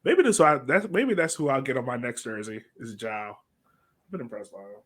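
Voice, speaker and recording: Male podcast host, relaxed conversational sports talk, mid-range pitch. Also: moderate pace. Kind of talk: casual sports chat.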